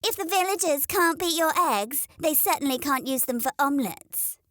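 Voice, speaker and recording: A woman voicing a teasing, gossipy hen neighbour in an animated children's storybook. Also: high voice, normal speed, dry recording, studio quality, voice pushed up. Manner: pointed, teasing.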